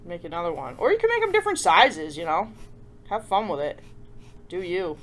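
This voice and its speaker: A woman's voice: on the low side, big pitch swings, brisk, fairly bright tone, slight background noise. A woman hosting a children's craft tutorial in a casual, chatty way, with playful self-deprecating asides and frequent check-in questions to viewers. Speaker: host of a children's craft show.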